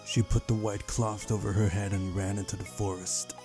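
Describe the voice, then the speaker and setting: Male narrator for a visual novel playthrough, lowish pitch, clear music bed underneath. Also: level tone, normal speed. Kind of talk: reading story narration aloud.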